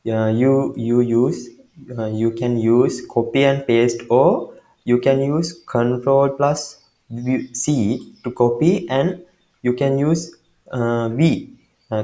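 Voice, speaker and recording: A man from Sri Lanka with a Sri Lankan accent, giving a screen-recorded software tutorial in a halting way, with many fillers and restarts. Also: mid-range voice, reverberant. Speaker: tutorial maker.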